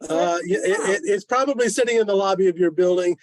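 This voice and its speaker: A man's voice: sits high, fast, a little hiss or hum. A man explaining informally on a video call, with dry joking asides. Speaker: club member presenting. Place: online video meeting.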